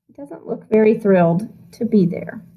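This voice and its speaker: A woman music teacher reading a picture book aloud to young children in an expressive, animated way, with chatty asides. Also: medium pitch, moderate pace, slightly rough, narrow, tinny audio.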